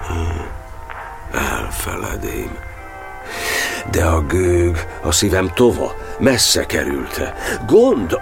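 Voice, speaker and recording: Low-voiced solemn cardinal, music behind. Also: male voice. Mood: humble, troubled. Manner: declamatory, solemn, measured. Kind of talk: dramatic verse monodrama for audio. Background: background music.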